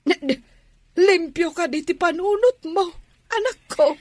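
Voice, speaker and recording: A woman's voice: very high voice, animated, a little slow, no echo. A woman playing a disapproving middle-aged mother in a radio drama, indignant and interrogating. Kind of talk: Ilocano radio drama acting.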